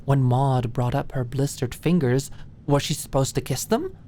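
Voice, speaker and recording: Female audiobook narrator, low register, scripted ASMR story reading, some rumble in the recording. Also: moderate pace, dark tone.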